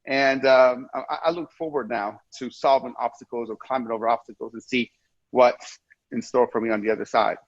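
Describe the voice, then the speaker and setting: A man's voice: mid-range voice, steady tone, moderate pace, dry recording. A man in his forties from Iran speaks as an interview guest over a video call. He talks earnestly and circles back on his own phrases, repeating words and restarting sentences. The audio is dry and somewhat muffled, with no room sound around it. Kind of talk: casual interview talk. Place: indoor room with ceiling fan, video call.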